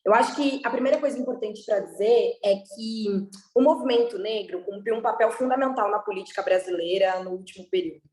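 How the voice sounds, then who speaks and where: A woman speaking as a panelist in a debate, in a measured, deliberate way. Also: medium pitch, a little mellow. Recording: roomy sound.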